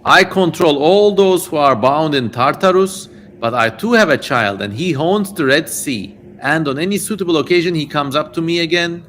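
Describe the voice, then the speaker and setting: A man's voice: a little high, expressive, normal speed, a bit bright, voice pushed up. A man as proud Beelzebub, noisy recording. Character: proud demon lord Beelzebub, ruler of the damned. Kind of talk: dramatic reading of ancient scripture.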